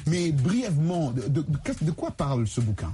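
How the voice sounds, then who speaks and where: A man's voice: fairly high pitch, sing-song, normal speed, warm tone, slight background noise. A man from Congo, a journalist hosting an interview, who stammers and repeats words. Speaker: host, journalist. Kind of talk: interviewing a guest.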